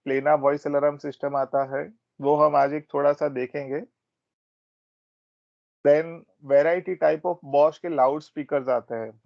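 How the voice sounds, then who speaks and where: Indian man presenting, slightly creaky, muffled audio. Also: a little high, no echo. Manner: explanatory, switches between Hindi and English. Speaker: technical product trainer at a systems company. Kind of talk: informal training presentation. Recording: dull, band-limited close audio.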